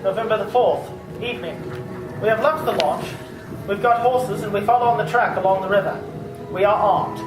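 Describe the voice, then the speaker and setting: A man playing an earnest adventurer reading out a journal in an old-time radio drama, solemn and deliberate. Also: high voice, close to an everyday voice. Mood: grave. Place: live performance in a public library. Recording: roomy, distant live stage, music behind.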